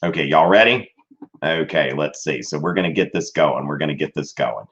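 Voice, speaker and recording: A man's voice: extremely low pitch, normal speed, fairly bright tone, dull audio. A man in his thirties teaching a livestreamed history class from a small room, his voice strained, rambling with fillers and checking in with "okay?". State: voice strained from streaming. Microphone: webcam at desk.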